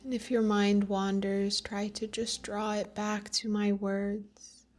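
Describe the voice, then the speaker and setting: A woman leading a guided meditation in a gentle, soothing manner, with pauses between phrases. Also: mid-range voice, level tone. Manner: slow, gentle, soothing delivery with long pauses.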